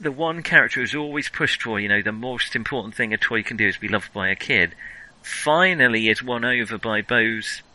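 A man's voice: medium pitch, normal speed, sharp tone, low-quality recording. A man hosting a casual film discussion, enthusiastic and analytical, often stammering as he searches for words. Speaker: film podcast host.

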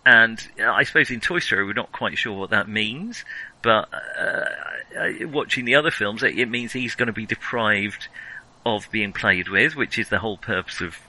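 A man's voice: fairly low pitch, moderate pace, sharp tone, low-quality recording. A man hosting a casual film discussion, thinking aloud and often rephrasing mid-thought. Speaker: podcast host.

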